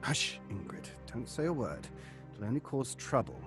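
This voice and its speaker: A nervous theatre player in a horror radio play. The hushed, middling voice hesitates and swings very widely in pitch, with music clearly underneath. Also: female voice, very animated, normal speed. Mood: hushed, warning. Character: nervous, timid member of a theatre troupe. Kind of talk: audio horror drama acting.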